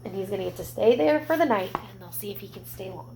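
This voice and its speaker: A woman reading a picture book aloud to young children, expressive, with gentle explanatory asides. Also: medium pitch, fast talker, gravelly, a little hiss or hum.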